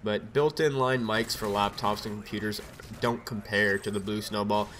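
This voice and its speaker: A young man with a cold, his voice a bit raspy, talking loosely over his gameplay on a desk mic at home, rambling with lots of fillers. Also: fairly low pitch, fairly even intonation, normal speed, fairly bright tone, a little hiss or hum. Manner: rambling, filler-heavy, laid-back. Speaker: gameplay commentator. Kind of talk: casual gameplay commentary. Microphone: USB desk mic.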